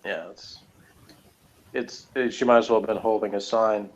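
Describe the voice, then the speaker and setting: A man chatting casually as a reviewer, talking in a rambling, halting way. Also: on the low side. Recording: dull lo-fi audio.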